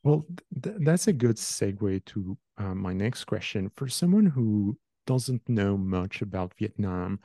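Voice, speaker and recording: A man with a low, mellow voice hosts a podcast interview. He asks his questions politely and at a measured pace, pausing on filler words. His voice sounds dry and close, with no room echo. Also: lively intonation. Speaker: podcast host. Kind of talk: interview hosting.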